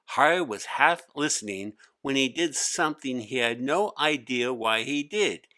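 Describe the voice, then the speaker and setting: Male narrator, children's story read-aloud, slow pace, bright crisp-edged tone, medium pitch. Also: expressive, no room sound. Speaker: author-narrator.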